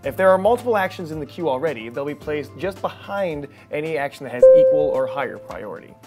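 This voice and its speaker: A man in his thirties narrating a scripted software training tutorial, explaining step by step with emphasis on key terms. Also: fairly high pitch, sing-song, quick pace, soft background music. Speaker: training video presenter.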